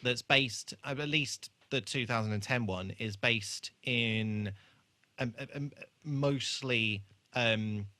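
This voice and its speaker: A man's voice: mid-range voice, takes it slowly, sharp tone, dry recording. A man co-hosting a podcast, chatting casually about television in a hesitant, meandering way. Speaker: podcast co-host. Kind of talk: casual chat about a TV show.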